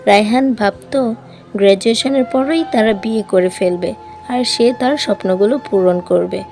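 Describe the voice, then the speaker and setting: A woman reads a scripted love story in a soft, heartfelt voice. Her tone is mellow and warm. Faint music plays under her narration. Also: medium pitch, moderate pace. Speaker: voice-over storyteller.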